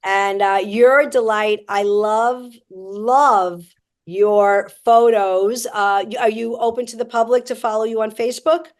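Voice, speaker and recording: A woman's voice: a little high, steady tone, unhurried. A woman comedian hosting a podcast interview, chatting in a jokey, self-promoting way. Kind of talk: casual interview chat.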